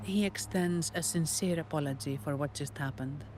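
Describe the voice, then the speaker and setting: An actress playing a composed, dutiful hired guard and guide in a video game, speaking in a measured, formal, businesslike way. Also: female voice, low voice, darkish tone, close to an everyday voice. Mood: polite, apologetic. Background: background music.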